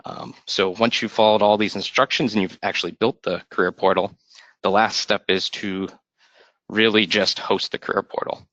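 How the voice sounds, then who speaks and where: Brief: lowish, bright, forward male voice; step-by-step tech explainer; dry, muffled call audio. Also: normal speed, no room sound. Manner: steady instructive explaining. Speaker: software consultant giving a demo. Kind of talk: screen-share installation walkthrough.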